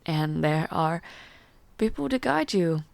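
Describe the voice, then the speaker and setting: A refined, weary noblewoman, acted by a woman in a fantasy audio roleplay. She speaks very slowly, in a slightly low register with a touch of fry. Her manner is formal, gentle and patient, with soft hesitations, and the sound is close and dry. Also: lively intonation, no echo, heavily acted. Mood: composed, patient. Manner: measured, formal, hesitant, softly spoken with pauses. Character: refined, gentle, tired noblewoman ruler mentoring a newcomer. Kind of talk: fantasy audio roleplay, intimate one-sided dialogue.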